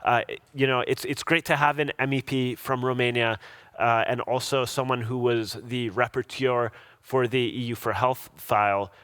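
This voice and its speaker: A man's voice: mid-range voice, steady tone, moderate pace, fairly bright tone, clean tone. A man moderating a panel discussion in a conversational style.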